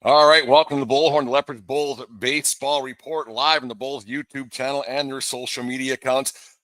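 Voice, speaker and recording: A man hosting a live sports interview show, speaking in a halting, rambling way. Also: mid-range voice, fairly even intonation, fairly bright tone, no room sound.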